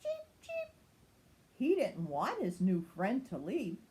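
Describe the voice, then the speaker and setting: A woman's voice: mid-range voice, sing-song, a little slow, dark tone. An older woman, a grandmother, reading a children's storybook aloud.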